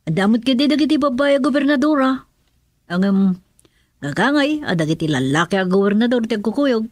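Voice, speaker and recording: A woman's voice: fairly high pitch, lively intonation, a little fast, dry recording. An actress playing a chatty, feisty woman in an Ilocano radio drama. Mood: flattering.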